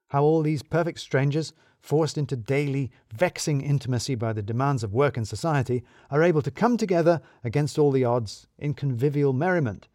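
A man's voice: medium pitch, a little mellow, dry recording, studio quality. A man narrating a scripted first-person mystery story in a wry, arch, wordy storyteller's manner.

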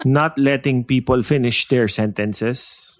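Booming-voiced Filipino man in his forties. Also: no room sound. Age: 40s. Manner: booming voice, quick hyperverbal talk. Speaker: podcast co-host. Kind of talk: casual Taglish chat.

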